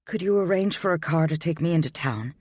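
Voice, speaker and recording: A man's voice: on the high side, a little fast, no room sound, voice pushed up, close to an everyday voice. A man voicing a heartbroken young pregnant woman who pleads through tears and then turns proud. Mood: resolved. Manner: tearful, pleading, then quietly resolved.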